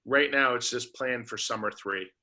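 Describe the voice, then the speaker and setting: A man's voice: mid-range voice, moderate pace, pushed and bright. A man, a professor and coordinator, giving an informal, reassuring explanation on a video call. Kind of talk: informal meeting update.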